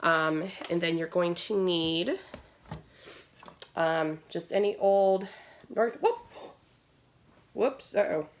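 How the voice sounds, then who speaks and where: A woman's voice: lowish voice, slow, pauses often. An American woman in her thirties giving a casual, rambling video tutorial in a nasal, congested voice, recorded at home. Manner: informal, rambling with asides. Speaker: gaming video host. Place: small room at home, indoors. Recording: noisy home recording with handling clicks.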